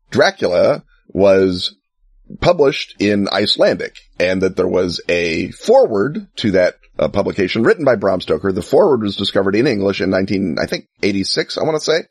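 A man with a slightly low, husky voice talks through his subject with plenty of enthusiasm and wry humor. His telling is loose and chatty, full of ums and uhs. The sound is dry and close, with no room around it. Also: very animated, normal speed, dry recording. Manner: enthusiastic, wry asides, many fillers. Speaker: podcast co-host. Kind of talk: casual explanatory chat.

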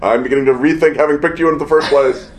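A man's voice: on the high side, fairly even intonation, quick pace, pitched above the performer's own voice, close to an everyday voice, rough recording. A man voicing an imperious ancient earth goddess who scolds and gives orders, recorded in a hotel room. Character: haughty bossy earth goddess, female, ancient.

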